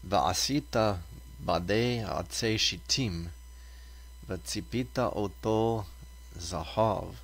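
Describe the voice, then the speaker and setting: A man reading Torah scripture aloud as a reader, deliberately, in short separated phrases. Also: fairly low pitch, gravelly.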